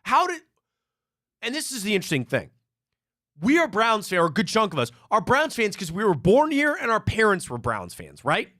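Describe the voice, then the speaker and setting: A sports talk host from Cleveland with a high voice that swoops all over as he tells stories and repeats his points. The sound is dry and close, with little room around it. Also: normal speed, no echo. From Cleveland, Ohio. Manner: animated storyteller, repeats himself. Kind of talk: live sports talk show.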